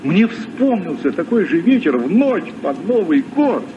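An actor playing a courteous, nostalgic seasoned castaway captain in a vintage radio play, speaking with theatrical deliberation. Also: male voice, high-pitched, sing-song, measured pace. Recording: muffled, noisy old radio recording.

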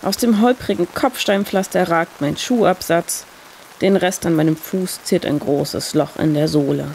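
A woman's voice: lowish voice, a little slow, creaky. A woman narrating a scripted first-person short story as a reader. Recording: steady rain noise behind voice. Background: rain sound effect.

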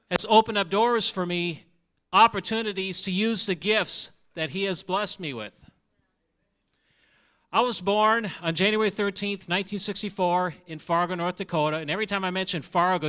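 A man's voice: high voice, pushed and bright, clear, resonant tone. A man in his forties with a Minnesota accent, giving his testimony from a church stage on a handheld mic in an earnest, conversational way. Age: 40s. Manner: earnest and conversational, asks the audience questions. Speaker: missionary. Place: large church sanctuary with audience. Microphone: handheld microphone.

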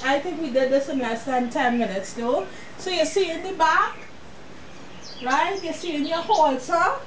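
A woman's voice: sits high, slight background noise, rough recording. A woman with a cold and a sniffle, walking viewers through a sewing project in a chatty, casual way. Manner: chatty, talks straight to the viewer. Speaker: sewing tutorial host. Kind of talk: casual step-by-step sewing tutorial.

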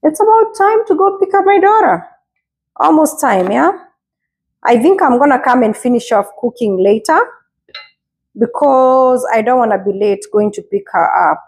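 A woman's voice: high voice, expressive, moderate pace, slightly rough. A woman, casually encouraging viewers in a vlog while cooking in her home kitchen, recorded on a lapel mic. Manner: earnest, encouraging, repeats herself; talks while washing and chopping vegetables. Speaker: vlogger.

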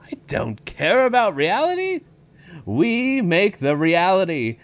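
A man's voice: high voice, wildly swooping pitch, slow pace, full character voice. A man playing a domineering, irritable old billionaire park founder in an audio drama, scolding and emphatic. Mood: insistent.

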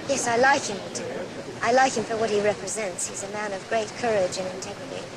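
A woman's voice: medium pitch, fairly even intonation, fairly quick, over a noisy crowd, natural voice, low-quality recording. An actress playing a guarded, impatient young secretary in an audio drama, curt and evasive in her answers. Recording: vehicle and outdoor noise behind.

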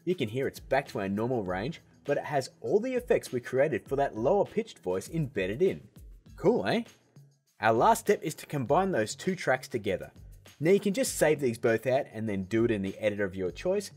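A man hosting a software tutorial, explaining steps in a casual, upbeat way. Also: medium pitch, sing-song, moderate pace, husky, soft background music. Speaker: video tutorial host.

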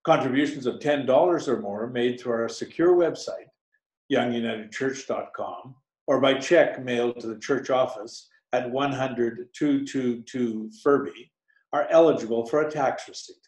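A man's voice: mid-range voice, a little slow, a little mellow. An older man reading a scripted church appeal as an announcer. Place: indoor room at home. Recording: roomy, echoey computer mic.

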